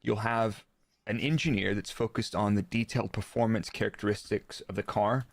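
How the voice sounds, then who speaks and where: Low-voiced man explaining in an interview. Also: fairly even intonation, moderate pace, dry recording, studio quality. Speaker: guest, former Formula One performance engineer. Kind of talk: casual interview talk with technical explanation.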